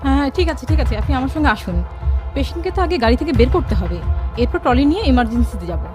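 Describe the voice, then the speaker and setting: A woman acting a brisk, helpful character in an audio drama. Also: sits high, very animated. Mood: businesslike. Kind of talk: Bengali radio drama acting. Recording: music behind the voice.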